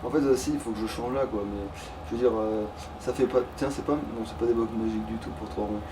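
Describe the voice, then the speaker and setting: A man's voice: on the low side, steady tone, fast talker. Distant, slangy man chatting over a game. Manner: informal, slangy, swears casually. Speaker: let's player. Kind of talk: casual gaming chat. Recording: distant mic with background noise.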